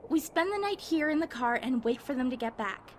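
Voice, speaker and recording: High-voiced caring young woman acted, noisy audio. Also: expressive, brisk. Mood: caring, practical. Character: caring, practical young woman among apocalypse survivors. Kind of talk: amateur audio drama acting.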